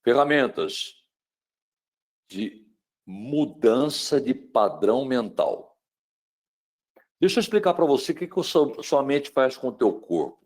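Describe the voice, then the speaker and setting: Dramatic Brazilian man lecturing. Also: mid-range voice. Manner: dramatic, swings between loud emphasis and hushed tones; asks the listener rhetorical questions. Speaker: speaker on mental wellbeing. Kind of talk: webinar lecture.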